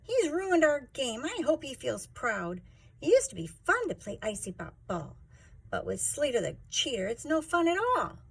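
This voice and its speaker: A woman's voice: high voice, very animated, moderate pace, gravelly, breathy edge, voice pushed up, heavily acted. A woman voicing an upset young child in a children's storybook, with a dramatic, wailing delivery. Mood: indignant. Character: upset young Fruzle child, indignant, then miserable.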